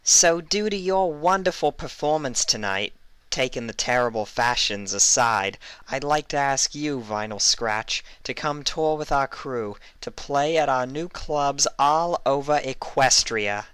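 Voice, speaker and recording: A man voicing a brisk, smooth-talking female pony talent scout with chummy, salesy patter in a story reading. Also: mid-range voice, expressive, a bit bright, no echo, voice pushed up, low-quality recording. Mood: persuasive. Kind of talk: fan fiction character reading.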